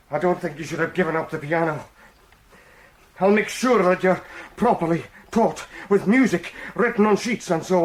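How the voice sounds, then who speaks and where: An actor in an audio drama playing a stiff, well-meaning colonial husband who stammers and gets flustered. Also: male voice, fairly high pitch, slight background noise, natural voice. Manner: stammering, blustering.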